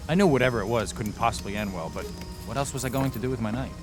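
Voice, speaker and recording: An actor playing a weary, sardonic young ghost hunter, narrating and talking in a wry, deadpan way in a radio drama. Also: male voice, medium pitch, very fast, lightly acted. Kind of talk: first-person radio drama narration and dialogue. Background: loud dramatic music.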